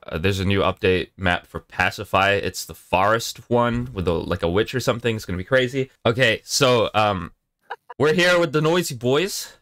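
A man's voice: fairly low pitch, a bit bright, smooth, dry recording, clean studio sound. A young man in his twenties streaming a horror game with friends on a headset, yelping and laughing at the scares. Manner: jumpy, yelps and laughs at scares. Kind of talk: casual gaming commentary with friends. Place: small bedroom streaming setup.

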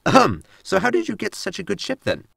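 A man's voice: sits high, huge pitch swings, fairly quick, creaky, fairly bright tone, no echo, studio quality, full character voice. A man playing a blunt, literal android servant in a sci-fi comedy audio drama, formal and matter-of-fact. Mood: dryly probing.

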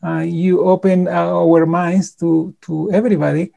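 A middle-aged man from Peru with a Peruvian Spanish accent, hosting an interview over a video call, speaking haltingly with many filler sounds. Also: high-pitched, level tone, vocal fry, warm tone. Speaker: interview host. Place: home room with shelves. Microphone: studio mic on video call.

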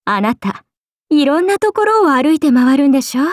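A woman's voice: very animated, normal speed, no room sound, clean studio sound. A woman voicing a playful, teasing serpent-oni goddess for a mobile game, with breezy big-sister airs and a drawling, sake-loving manner. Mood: relaxed, musing. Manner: breezy, drawling, teasing, slipping into low murmurs. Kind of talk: Japanese mobile game character voice lines.

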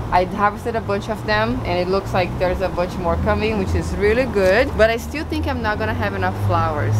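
A young woman giving a casual walk-through tour of her garden plot, chatting as she points out plants outdoors. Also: medium pitch. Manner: chatty, walks and points at plants while talking. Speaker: gardening vlogger. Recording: outdoor street noise behind.

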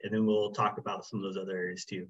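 A middle-aged man presenting a birding workshop over a video call, relaxed and casual, with many fillers like um and you know. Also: deep voice, quick pace. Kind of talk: casual online workshop talk. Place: small room indoors. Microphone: video call webcam. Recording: slightly muffled video call audio.

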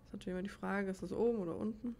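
A woman's voice: medium pitch, fairly even intonation, very fast, warm tone, slight background noise. A woman giving casual gameplay commentary, rambling and thinking aloud as she plays. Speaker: let's player. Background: game music.

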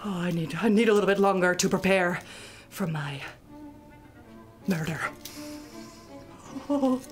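Lady doing a gloomy moany grim reaper puppet, lowered voice, melodramatic, music behind. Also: expressive, measured pace. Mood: mournful, reluctant. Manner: moaning, melodramatic. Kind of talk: theatrical live puppet-show comedy.

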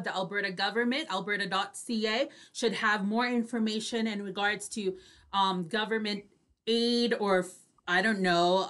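A woman's voice: medium pitch, fairly bright tone. A woman co-hosts an online radio talk show on a video call, chatting casually and effusively with Tagalog tags dropped in. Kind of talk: lively casual co-host chat.

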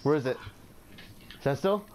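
A man's voice: medium pitch, expressive, unhurried, a little mellow, slight background noise. A young man chatting in an online game, teasing and swearing, laughing a lot. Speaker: gamer in party chat. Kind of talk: casual gaming banter.

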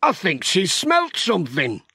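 A dogged hill farmer, played by a male narrator, plain-spoken and a bit weary. The voice is pitched up high and swings about, in a children's audiobook. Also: wildly swooping pitch, measured pace, pushed and bright, slightly rough, dry recording, studio quality, full character voice. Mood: cautiously hopeful.

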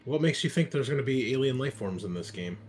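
A man's voice: mid-range voice, lively intonation, fast, soft background music. A man hosting a tabletop game in casual chat, joking and swearing freely as game master.

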